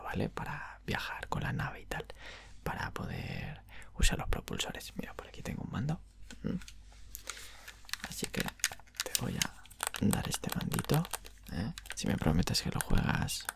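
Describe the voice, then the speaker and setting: A man's voice: lowish voice, heavily acted. Whispering Spanish man playing a game shop clerk. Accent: Castilian Spanish. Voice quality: breathy, mostly whispered. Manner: informal, explanatory, frequent check-in tags. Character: friendly, chatty young male video game shop clerk. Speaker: ASMR roleplayer. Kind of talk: ASMR roleplay, casual improvised whispering. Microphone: close microphone.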